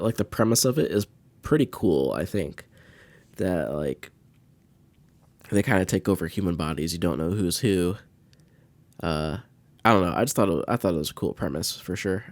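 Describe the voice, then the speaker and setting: A man with a low voice, recorded dry and close. He hosts a podcast in a casual, laid-back ramble, unscripted. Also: no room sound. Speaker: podcast host. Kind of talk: casual unscripted chat to listeners.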